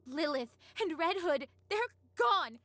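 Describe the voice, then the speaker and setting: Young female squad leader for a game drama: high, proud, grief-laden, formal, dry sound over music. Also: lively intonation, slow pace, fairly bright tone, no room sound, heavily acted. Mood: anguished, desperate. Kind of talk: dramatic video game voice acting.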